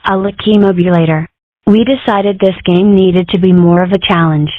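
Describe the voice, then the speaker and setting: A woman's voice: lowish voice, steady tone, moderate pace, no room sound, natural voice. A woman voicing a cheeky, mischievous young animal girl in a comic dub, with a teasing, flippant, casual manner. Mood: playful. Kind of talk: comic strip dub, character acting. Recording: muffled, dull, dry audio.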